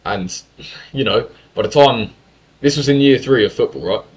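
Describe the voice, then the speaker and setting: A man's voice: mid-range voice, moderate pace, poor audio. A young man from England telling a story in a casual monologue, talkative and full of swearing and self-corrections. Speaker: YouTuber.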